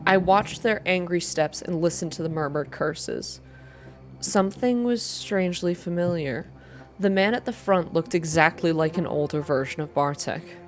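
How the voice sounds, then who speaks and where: A woman with a low voice reads a story as ASMR narration. Music plays softly behind her as she moves through the narrative. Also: normal speed. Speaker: reader. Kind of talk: ASMR reading of a story game.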